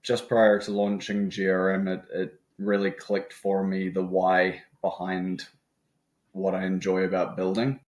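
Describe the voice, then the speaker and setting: A man in his thirties with a New Zealand accent, a guest talking in a reflective, measured way in a casual interview. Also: deep voice, completely flat delivery, a little mellow. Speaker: guest, company co-founder.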